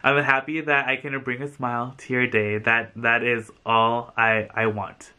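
A bright, forward male voice with an American accent and a medium pitch. He chats effusively in a loose, rambling vlog style, peppering his sentences with "like". He sounds like a man in his thirties talking to a camera at home. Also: level tone, a little slow, clean tone. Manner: effusive, chatty, rambling with frequent "like". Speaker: vlogger and thrift reseller. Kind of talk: casual chatty vlog to camera. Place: small furnished room indoors, on a sofa.

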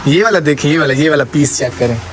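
A man's voice: a little high, expressive, quick pace, noisy background. A young man with a Saraiki accent hosting a street vlog, teasing people and laughing often, recorded outdoors in a courtyard on a phone camera. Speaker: vlogger host. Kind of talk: joking street vlog banter.

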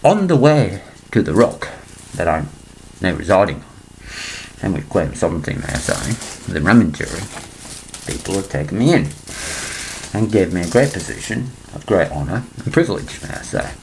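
Gravelly older man rambling to a webcam. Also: low-pitched, big pitch swings, moderate pace, pauses often, darkish tone, a little hiss or hum, rough recording. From England. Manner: rambling, digressive, frequent throat clearing. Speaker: vlogger. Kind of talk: rambling conspiracy monologue to webcam. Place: small indoor room. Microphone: laptop webcam.

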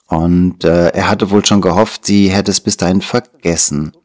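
A Dutch man in his sixties with a very low, deep voice talks as an interview guest in a small studio. He is speaking German, which is not his first language, so his Dutch accent comes through. He tells his story thoughtfully and without hurry, close to a lapel mic. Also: fairly even intonation, a little mellow. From Netherlands. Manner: thoughtful, unhurried, gestures while talking. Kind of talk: reflective conversational storytelling.

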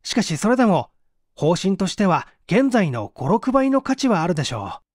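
A man's voice: high voice, sing-song, normal speed, no echo, clean studio sound. A man playing a bold, earnest researcher who speaks urgently and persuasively in an audiobook mystery. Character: earnest, bold young male researcher-detective.

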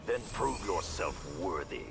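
A man playing a stoic, stern ninja clan leader in a fighting game, deep and gravelly. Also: animated, measured pace, full character voice. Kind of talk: fighting game character dialogue. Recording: loud game music behind.

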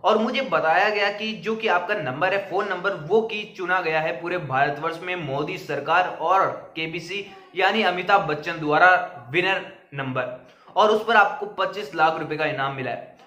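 High-pitched young man, bright voice. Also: normal speed. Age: young adult. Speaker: video creator. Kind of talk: explanatory talk to camera, cautionary. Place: small indoor room with plain wall. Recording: roomy indoor echo.

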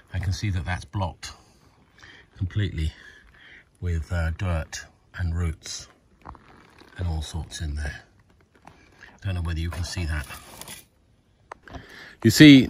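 Low-voiced middle-aged man, noisy recording. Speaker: vlogger restoring an old farm. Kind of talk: informal narrated video tour. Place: outdoors on farm land and inside stone barn. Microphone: handheld phone at arm's length. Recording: clear background noise, clicks.

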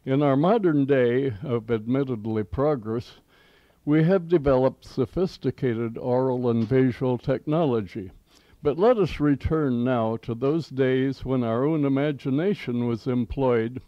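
A man's voice: mid-range voice, a little slow, dry recording, highs cut off. An American man in his eighties hosting old-time radio, with a folksy, theatrical announcer delivery and a nostalgic scripted style. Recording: home cassette tape with music.

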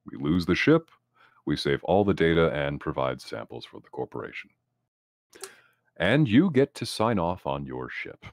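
A man roleplaying a calculating corporate representative, talking in wordy, formal, deliberate phrases as he improvises. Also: a bass voice, big pitch swings, no room sound. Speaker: tabletop game player. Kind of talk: in-character improvised roleplay dialogue.

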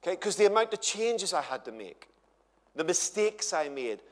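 Guy in his 50s preaching on stage, bit high voice, big echoey church hall. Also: moderate pace. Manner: chatty and humorous, lively storytelling. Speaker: guest conference speaker. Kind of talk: conference sermon to a live audience. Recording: long hall reverb.

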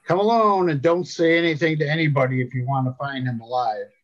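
A man's voice: fairly high pitch, steady tone, brisk, close to an everyday voice. Story kidnapper, played by a man reading aloud: smug thug, coolly threatening, slightly muffled sound. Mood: mocking threat.

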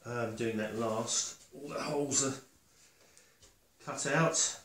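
An older man giving a casual step-by-step demonstration, halting and matter-of-fact as he thinks aloud while working. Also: medium pitch, fairly bright tone. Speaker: woodworker demonstrating his build. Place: concrete-block workshop and house room. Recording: echoey room, faint noise, handling clicks.

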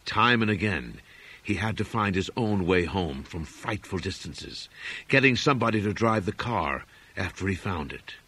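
A male audiobook narrator with a lowish, husky voice reads a horror story. His delivery is dramatic and literary, with animated rises and falls in pitch. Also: moderate pace.

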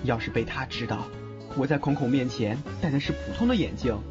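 A man playing a smitten, easily flustered young man in an audio drama, expressive, tender and a little exasperated. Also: mid-range voice, brisk, close to an everyday voice. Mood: tender, reflective. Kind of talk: audio drama acting with inner monologue. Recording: muffled lo-fi audio drama over music.

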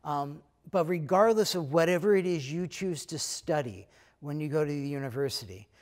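A middle-aged American man, head of an economics school, talking casually to prospective students in a relaxed way, with many fillers. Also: a little high, moderate pace. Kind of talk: recorded talk to prospective students. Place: lecture room, then plain backdrop indoors.

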